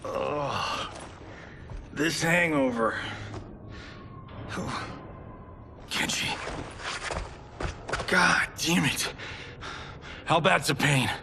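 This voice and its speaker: An actor playing a flippant movie-star hero in a video game, casual and sardonic. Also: male voice, a little high, expressive, very slow, creaky, long pauses, background noise, heavily acted. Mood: groggy concern. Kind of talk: video game cutscene acting.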